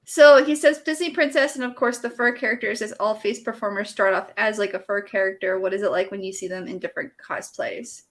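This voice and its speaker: A woman's voice: medium pitch, steady tone, fairly quick, hardly any pauses. A young woman hosting a casual live-stream chat, talking freely with lots of fillers and frequent laughter. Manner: chatty, laughs often, many fillers. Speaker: podcast host.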